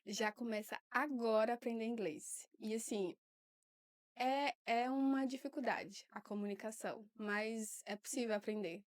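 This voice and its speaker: A young woman, a guest on a podcast, speaking warmly but hesitantly with many fillers. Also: on the high side, moderate pace. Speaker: guest volunteer. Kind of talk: casual interview.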